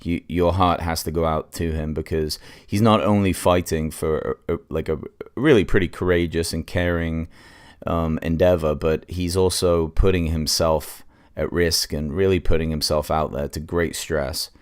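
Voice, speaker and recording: A man's voice: very deep voice, steady tone, moderate pace, smooth. A man hosting a casual solo podcast review, congested with a cold and speaking in an informal, hesitant way.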